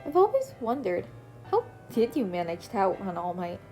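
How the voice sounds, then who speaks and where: Huffy flustered teacher hero, woman reading it, mellow and soft, pretty plain voice. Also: medium pitch, very animated, normal speed, faint music behind, close to an everyday voice. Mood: indignant. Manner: huffy, indignant. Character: easily flustered teacher hero, chess loser.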